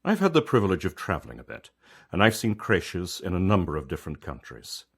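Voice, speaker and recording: Male author-narrator, low voice, reflective conversational storytelling, measured delivery. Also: wildly swooping pitch, slightly rough. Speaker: author reading own story.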